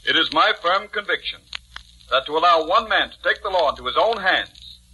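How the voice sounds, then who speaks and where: An actor playing a stern, unmoved judge in a formal, declamatory 1940s radio drama style. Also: male voice, a little high, animated, moderate pace, a little hiss or hum, poor audio. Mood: stern, cold. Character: stern, unmoved male judge, coldly authoritative. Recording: bright, thin 1940s radio recording.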